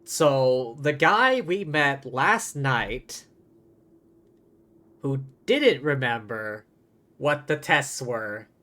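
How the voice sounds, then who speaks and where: Male game streamer, mid-pitched, thinking aloud. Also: animated, drawn-out words, pauses often, sharp tone. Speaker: live streamer. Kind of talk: casual reactions and commentary on a game.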